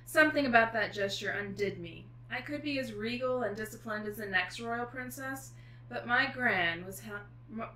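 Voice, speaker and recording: Female guest author, bright and higher-pitched, expressive reader and casual talker; roomy recording with slight noise. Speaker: author guest reading aloud. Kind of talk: expressive book reading and casual chat. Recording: echoey room with faint noise.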